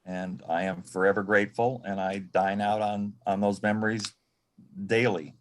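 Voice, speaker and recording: An American man from Michigan reminiscing as a panel guest in a halting, reflective way, often repeating words. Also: fairly low pitch, level tone. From Michigan, United States. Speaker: panel guest, former assistant to an entertainer.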